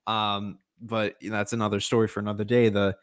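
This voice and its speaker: A man co-hosting a wrestling podcast in casual chat, quick to agree and to joke back. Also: fairly low pitch, level tone, no echo, clean studio sound.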